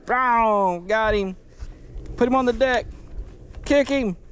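A man, chatting casually and coaching his young son while bass fishing from a boat. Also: takes it slowly, a bit bright. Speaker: fishing channel host. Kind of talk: casual outdoor vlog talk. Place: outdoors on a bass boat on a river. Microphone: action camera on the boat. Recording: outdoor camera audio with clear wind and water noise.

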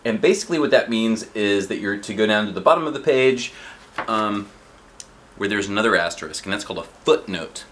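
A man reading a children's book aloud in an expressive, playful way, with chatty asides. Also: on the low side, fairly quick, a little hiss or hum. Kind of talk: animated storybook read-aloud with chatty asides. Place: living room sofa, indoors. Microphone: camera a short distance away.

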